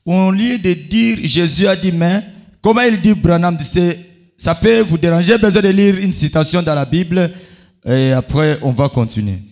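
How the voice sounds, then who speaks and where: A male pastor with a Congolese accent preaching a sermon at a church meeting, delivered emphatically in short phrases with pauses for an interpreter. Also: high-pitched, a little mellow. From Democratic Republic of the Congo.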